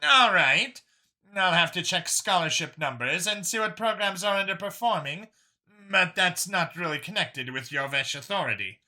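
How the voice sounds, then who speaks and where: A man voicing a weary, composed alien woman in government, speaking in a measured, hesitant way for a science fiction audiobook. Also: sits high, expressive, sharp tone, voice pushed up, exaggerated voice.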